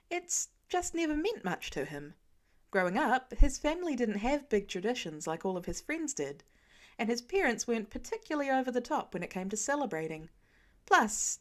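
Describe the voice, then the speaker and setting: A woman narrating a fan fiction audiobook in an expressive storytelling manner. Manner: expressive storytelling, varied pitch. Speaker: audiobook reader.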